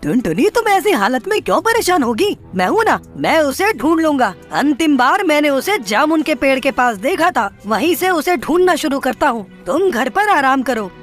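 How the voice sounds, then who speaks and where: A protective, brotherly bird from a children's cartoon, played by a woman. He sounds earnest and reassuring, high and animated in pitch, with soft music behind. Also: normal speed, hardly any pauses. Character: caring, protective male neighbour bird, parrot or crow.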